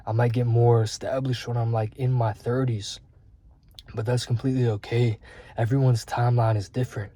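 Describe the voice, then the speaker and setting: A young man in his twenties talks straight to his viewers in a husky, mellow voice. He is earnest and rambling, leaning on fillers and curse words as he pushes his self-improvement message. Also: mid-range voice. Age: 20s. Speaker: vlogger. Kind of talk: candid self-improvement talk to camera.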